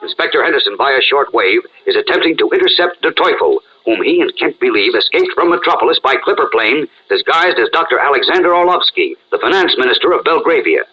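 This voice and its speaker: A man's voice: medium pitch, sing-song, brisk, few pauses, breathy edge. A man narrating a serial in a dramatic 1940s radio announcing style. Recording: muffled vintage radio recording.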